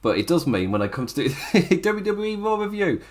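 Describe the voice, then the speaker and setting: A man who lives in the UK, hosting a solo wrestling review stream. His voice is a little hoarse from overuse, and he rambles in a chatty way, laughing often and restarting his sentences. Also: sits high, sing-song, brisk. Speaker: wrestling podcast host. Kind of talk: casual solo show review.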